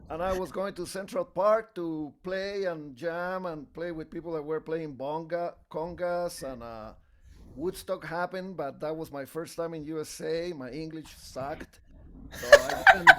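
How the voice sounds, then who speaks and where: Older Chilean flutist, slightly high voice, relaxed storyteller on a call. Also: male voice, unhurried. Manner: easygoing, rambling storyteller. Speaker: flutist student. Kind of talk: casual chat on a video call. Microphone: video call.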